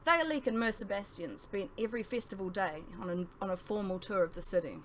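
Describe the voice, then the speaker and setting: A woman reading a first-person novel aloud as scripted narration, with emphatic phrasing and dramatic pauses. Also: mid-range voice, slight background noise, low-quality recording.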